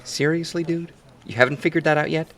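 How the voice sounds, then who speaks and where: A man's voice: on the high side, steady tone, brisk, fairly bright tone. An actor in an audio comedy playing a snarky, eager young office man with a sarcastic, insistent manner. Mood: awkward, hopeful. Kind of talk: scripted audio theatre comedy acting.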